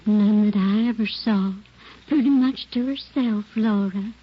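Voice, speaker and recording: A woman acting as a particular, chatty landlady speaks slowly at a middle pitch, given to wistful asides. It is 1940s radio drama on a muffled old recording. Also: close to an everyday voice. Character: talkative, particular landlady fond of her tenant. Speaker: landlady questioned by police.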